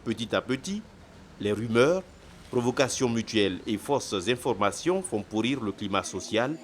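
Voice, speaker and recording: A man's voice: mid-range voice, animated, normal speed, slight background noise. A man reads scripted documentary narration as a news reporter.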